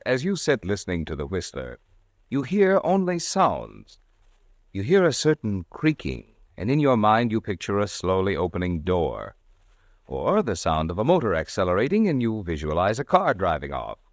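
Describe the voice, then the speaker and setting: Lively old-time radio announcer, man. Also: on the low side, no echo. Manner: lively, salesman-like. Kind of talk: 1940s radio commercial. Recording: dry old radio broadcast.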